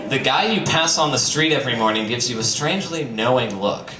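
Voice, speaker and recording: A man's voice: mid-range voice, expressive, a little fast, recorded from a distance, crowd noise. A man doing a comedic live reading for an audience, theatrical, with dry joking asides. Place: bistro live show with audience. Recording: echoey, noisy live stream from off mic.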